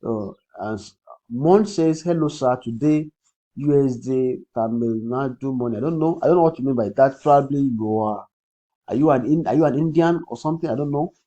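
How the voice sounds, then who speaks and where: A man with a Nigerian accent, a native Yoruba speaker, hosting a casual crypto livestream in a husky voice, repeating phrases and often checking in with "okay". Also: medium pitch, normal speed, warm tone, dull audio. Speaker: crypto trading livestream host. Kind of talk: casual livestream commentary, answering comments.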